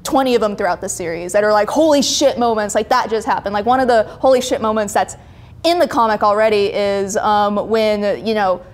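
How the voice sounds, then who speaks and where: A young adult woman in a casual interview, explaining her creative work in an informal, animated way, acting out little quotes as she goes. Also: mid-range voice, brisk. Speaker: webcomic creator being interviewed. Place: indoor studio set with brick wall.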